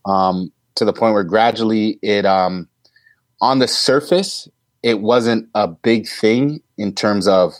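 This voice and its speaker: A man in his thirties, a teacher, answering interview questions in a deep voice, thoughtful and hesitant with many ums and restarts. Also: slow, no echo. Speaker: teacher guest.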